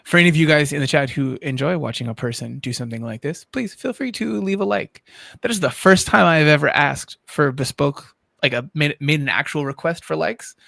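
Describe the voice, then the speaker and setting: A man's voice: on the high side, a little fast, vocal fry, fairly bright tone, no echo, clean studio sound. A man hosting a livestream in casual chat, putting conversational questions on behalf of the audience. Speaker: livestream host and moderator.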